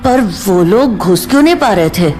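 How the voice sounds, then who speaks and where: A woman voicing a timid, curious village boy in an audio drama, halting and stammering as he speaks. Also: mid-range voice, expressive, music in the background, close to an everyday voice. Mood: hesitant, curious.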